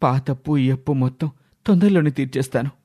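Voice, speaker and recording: A man's voice: mid-range voice, a little fast, mellow, natural voice. A man playing a dutiful young son, earnest and resolute, in a dramatised moral story. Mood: pleading.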